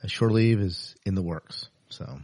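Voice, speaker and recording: A man's voice: fairly low pitch, unhurried, vocal fry, a little mellow. A man hosting a podcast interview, chatting informally and often giving short affirmations. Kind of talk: casual interview chat.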